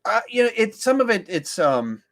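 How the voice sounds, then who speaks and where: A man's voice: high voice, very animated, moderate pace, fairly bright tone. A man hosting a podcast, chatting casually about films in an emphatic way, often thinking aloud. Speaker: podcast host. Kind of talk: casual film discussion.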